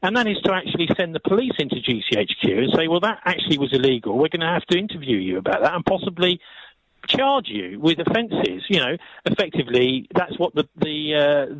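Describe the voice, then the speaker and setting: British man, slightly high voice, discursive. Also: fairly bright tone, poor audio. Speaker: radio phone-in guest commentator. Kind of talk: conversational political commentary.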